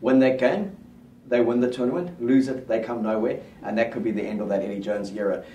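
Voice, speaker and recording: A man's voice: mid-range voice, brisk, far from the mic, a little hiss or hum. Confident man giving rugby predictions, roomy room. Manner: confident, steady, lays out predictions. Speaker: rugby pundit. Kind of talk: casual rugby chat. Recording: roomy studio sound, mic set back.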